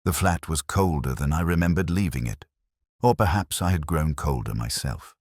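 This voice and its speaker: A man playing a brooding, world-weary police inspector who narrates his case in solemn, measured, literary prose, like a melancholy detective audio story. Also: rumbling low, no echo, studio quality, heavily acted. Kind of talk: scripted first-person detective story narration.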